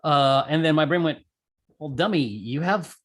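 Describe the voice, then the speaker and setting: A man's voice: a little high, animated, normal speed, fairly bright tone. A man from Puerto Rico telling his story casually as an interview guest, colloquial and acting out his own thoughts. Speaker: interview guest, amateur radio operator and skydiver.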